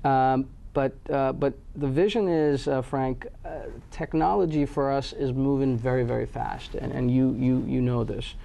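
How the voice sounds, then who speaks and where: A man's voice: mid-range voice, fairly even intonation, normal speed, gravelly, few pauses, dark tone, slight background noise. A man from Pakistan answering interview questions about his company, with many fillers and restarts. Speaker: guest, company head.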